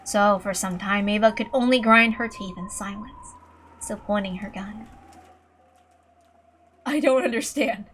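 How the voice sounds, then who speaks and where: A woman's voice: medium pitch, fairly even intonation, normal speed, fairly bright tone, slight background noise. A woman reading scripted visual novel narration in a dramatic storytelling style. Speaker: narrator, reader. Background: music.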